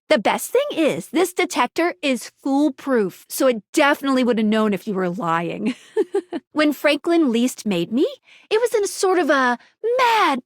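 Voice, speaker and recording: High, perky, geeky game girl. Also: no echo, studio quality, heavily acted. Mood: amazed, excited. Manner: peppy, quirky, slangy, stammers when flustered. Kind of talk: animated video game character dialogue.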